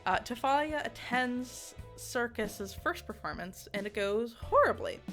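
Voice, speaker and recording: A woman giving a casual, chatty game review, giggly and excitable, with rambling asides. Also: a little high, measured pace. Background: music.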